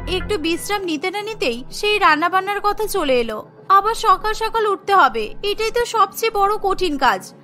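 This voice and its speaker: A woman's voice: very high-pitched, expressive, moderate pace, pushed and bright, soft background music, heavily acted. A woman voicing a lazy, scheming young bride who frets to herself in a Bengali cartoon. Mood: worried. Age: young adult. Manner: fretful, musing to herself. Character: lazy, scheming young village bride, anxious about cooking.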